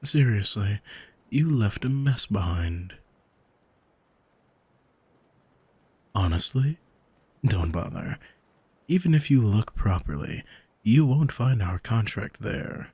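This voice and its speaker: A man playing an arrogant demon in an ASMR audio drama, smug and teasing, speaking deliberately and intimately to the listener with a husky voice, close on the microphone. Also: fairly low pitch, lively intonation, darkish tone, no room sound, full character voice. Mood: amused, mocking. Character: arrogant male demon who owns a soul, smug, taunting. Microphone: close studio microphone, dry.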